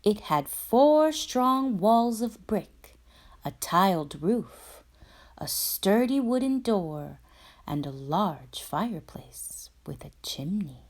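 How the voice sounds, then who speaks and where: A woman reading a children's story aloud as a storyteller, with expressive, dramatic and emphatic phrasing. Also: medium pitch, takes it slowly.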